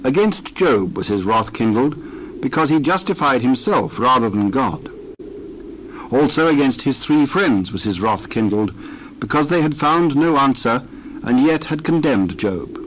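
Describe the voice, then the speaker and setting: A man narrating a dramatized scripture reading in a measured, formal storytelling manner. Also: mid-range voice, lively intonation, a little hiss or hum, poor audio.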